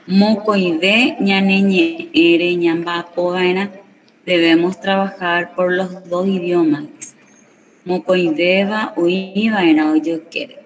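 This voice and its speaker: A woman teaching a class, explaining slowly and often checking that students follow. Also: on the low side, steady tone. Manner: slow explanatory, checks understanding with tag questions. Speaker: Guarani teacher. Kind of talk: classroom lesson. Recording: recorded from a distance with faint background noise.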